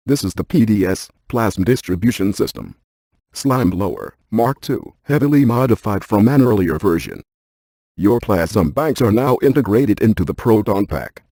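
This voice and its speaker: Video game paranormal scientist: cerebral male, deadpan and exact, very mellow dark tone, close dry sound. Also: on the low side, slightly rough, dry recording. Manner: deadpan, precise, technical. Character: cerebral, methodical paranormal scientist, adult man. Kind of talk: scripted video game dialogue.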